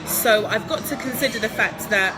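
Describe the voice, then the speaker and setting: A woman's voice: on the high side, moderate pace, low-quality recording. Upbeat chatty woman, music behind. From England. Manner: chatty, upbeat, laughs often, many ums. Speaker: guest entrepreneur. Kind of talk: interview answers.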